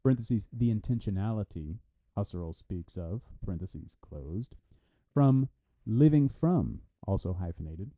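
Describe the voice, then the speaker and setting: Deep-toned man with a very dark, mellow voice. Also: lively intonation, measured pace. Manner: reads punctuation and citations aloud. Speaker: reader of a translated philosophy article. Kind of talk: read-aloud of an academic book review.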